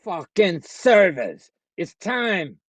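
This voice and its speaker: A man's voice: high-pitched, very animated, drawn-out words, fairly bright tone, dry recording, heavily acted. A man playing a cruel, arrogant sci-fi villain who gloats and taunts, in a screenplay table read. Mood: angry.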